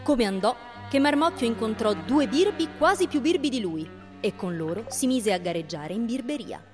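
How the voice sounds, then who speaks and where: A woman's voice: a little high, expressive, moderate pace, soft background music, close to an everyday voice. A woman narrating a storybook tale in scripted radio-play style. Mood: storytelling. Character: storybook narrator introducing a tale's chapter.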